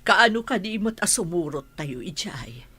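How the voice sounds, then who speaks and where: A woman's voice: fairly low pitch, sing-song, normal speed, pushed and bright, husky, heavily acted. A woman with an Ilocano accent playing a worried, questioning village mother in a radio comedy drama.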